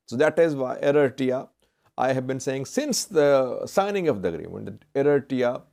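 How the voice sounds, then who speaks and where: A man presenting news commentary to viewers in halting, deliberate phrasing, often correcting himself. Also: medium pitch, mellow. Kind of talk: semi-scripted news commentary.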